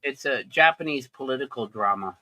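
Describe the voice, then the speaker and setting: A man's voice: mid-range voice, expressive, brisk, bright, forceful tone, no echo. A man chatting casually with friends, dropping short, matter-of-fact remarks. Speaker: friend in chat. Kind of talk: casual chat.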